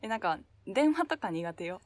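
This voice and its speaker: A woman's voice: mid-range voice, sing-song, normal speed, no room sound. A young woman co-hosting a podcast, talking in a casual chat among friends. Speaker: podcast co-host.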